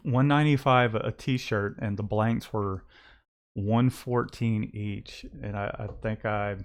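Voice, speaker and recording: A man's voice: fairly low pitch, steady tone, takes it slowly. A man hosting a casual livestream about his screen-printing shop, talking in a rambling way with many restarts and fillers.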